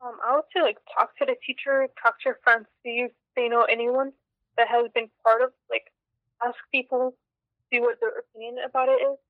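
A woman's voice: fairly high pitch, little pitch movement, brisk, no echo. A young woman answering interview questions in a chatty way, with many "like"s. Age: young adult. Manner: chatty, lots of "like". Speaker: interviewee, former student. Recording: tinny phone-like line.

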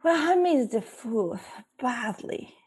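A woman's voice: fairly high pitch, lively intonation, slow pace, a little mellow, breathy edge. Woman, from Mexico, postdoc, friendly, direct, faint music. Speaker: postdoc interviewee. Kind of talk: interview answer.

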